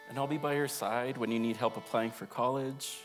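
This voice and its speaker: A man's voice: medium pitch, fairly even intonation, a little fast, faint music behind. A young man reading an autobiographical monologue aloud in an earnest, emotive storytelling way. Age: young adult.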